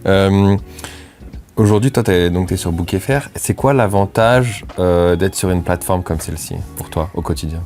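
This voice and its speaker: Un homme qui mène une interview et pose des questions courtes et amicales, sur un ton décontracté. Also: male voice, low voice, normal speed, darkish tone, soft background music. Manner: friendly, short questions with fillers.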